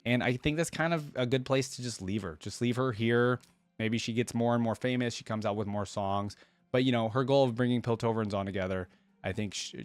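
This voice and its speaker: A man with a fairly low voice hosts a reaction video in a casual, chatty way. He rambles through his thoughts and often checks in with a short question. The sound is dry, with very little room in it. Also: no echo.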